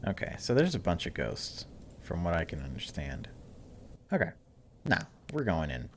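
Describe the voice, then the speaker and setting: A man's voice: low-pitched, huge pitch swings, moderate pace, a little hiss or hum. A man hosting a gaming video, reading story text aloud dramatically and breaking off for casual, wry asides.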